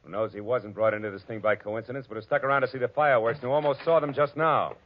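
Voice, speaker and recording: An actor playing a tough, wisecracking American private detective in 1940s radio style. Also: male voice, mid-range voice, steady tone, fast talker, a little hiss or hum, close to an everyday voice. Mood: hard, sardonic. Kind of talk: 1940s radio detective acting, hardboiled narration. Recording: dull vintage radio recording, music behind.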